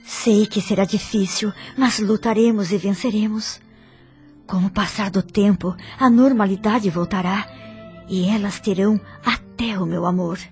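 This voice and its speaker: A woman's voice: medium pitch, steady tone, a little mellow, natural voice. Woman acting a dignified wife, faint music behind. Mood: tender resolve. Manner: earnest, formal, measured. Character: dignified, forgiving wife and mother, resolute. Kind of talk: radio drama acting.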